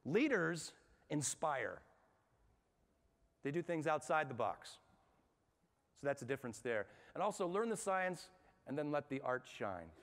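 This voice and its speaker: A man giving a lively workshop talk to a live audience, asking them questions and talking in a conversational way. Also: fairly high pitch. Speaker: speaker at a management workshop. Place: large hall with an audience. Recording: long hall reverb.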